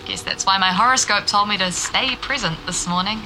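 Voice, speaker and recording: A woman's voice: mid-range voice, fairly quick, background noise, lightly acted. An actress playing a young, nervous and eager woman reporter in naturalistic audio drama dialogue. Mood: wry. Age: young adult. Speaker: young arts radio reporter and local guide.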